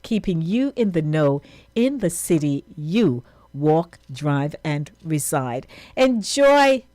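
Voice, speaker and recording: A woman's voice: medium pitch, a little mellow, no echo. A woman with a Jamaican accent hosting a radio show, chatting casually and slipping into patois as she signs off. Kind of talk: casual on-air sign-off.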